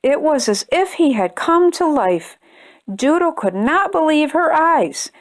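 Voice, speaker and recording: A woman reading a children's picture book aloud with expressive, deliberate storytelling and emphatic phrases. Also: sits high. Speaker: storytime reader and host.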